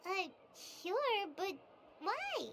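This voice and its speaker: A woman voicing a tiny, chirpy, curious girl companion in a video game, with a squeaky childlike voice. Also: big pitch swings, drawn-out words, background noise, full character voice. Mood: curious, surprised. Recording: background music under dialogue.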